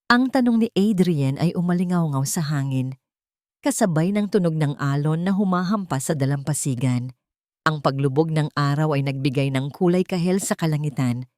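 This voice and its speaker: Filipino lady narrating a drama story, low voice, really dry close sound. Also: a little mellow, no room sound.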